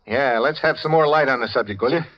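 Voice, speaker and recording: A man's voice: mid-range voice, fast talker, natural voice. An actor playing a tough, bitter man on the run in a 1940s radio noir thriller, speaking in a clipped, hard-boiled way. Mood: insistent. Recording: dull vintage radio recording.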